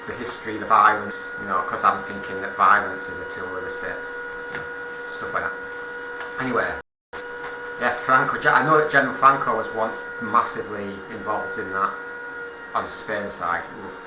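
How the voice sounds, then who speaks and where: A man giving an informal political monologue as a commentator, halting and self-correcting, repeating phrases for emphasis. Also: lowish voice, reverberant, background noise.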